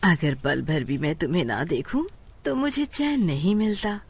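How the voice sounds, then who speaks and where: A woman's voice: low voice, lively intonation, normal speed, husky, heavily acted. A woman voicing a lonely, possessive village aunt in a cartoon story, with a dramatic manner. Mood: doting. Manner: dramatic, scolding or doting.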